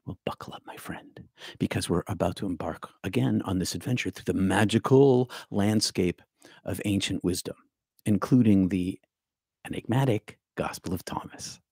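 Older male spiritual teacher, low gravelly voice, reads from notes to camera in a home study on a stand mic. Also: normal speed, a little mellow, dry recording, studio quality. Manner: reads from notes, drops to near whisper for emphasis. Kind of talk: scripted spiritual talk to camera. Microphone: studio mic on stand with headphones.

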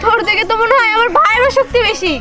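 A woman's voice: very high-pitched, racing. A woman playing an argumentative young village girl with a Bangladeshi accent in a colloquial comedy drama. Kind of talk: Bengali comedy short drama. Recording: outdoor film audio, loud music, crowd noise.

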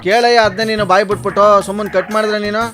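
A man's voice: sits high, fairly even intonation, rapid-fire, fairly bright tone, faint music behind. A man playing an irate, bullying customer in a prank phone call, hectoring and sarcastic. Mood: indignant scolding. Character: irate, bullying male customer demanding a hired vehicle. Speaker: radio prank-call host.

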